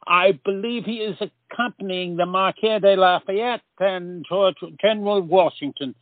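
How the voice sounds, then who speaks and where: A man's voice: high voice, moderate pace, no echo, lightly acted. A man playing a polite, concerned soldier comrade who speaks a little hesitantly in a stage comedy. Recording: tinny, narrow-band sound.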